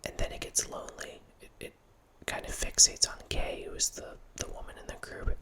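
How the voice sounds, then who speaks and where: A man's voice: moderate pace, sharp tone. A man in his thirties whispering a casual, rambling talk close to a studio mic in a small home room, often restarting his sentences. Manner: rambling, self-correcting, casual. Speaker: host. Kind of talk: whispered ASMR horror film ramble. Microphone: studio mic close up, headphones.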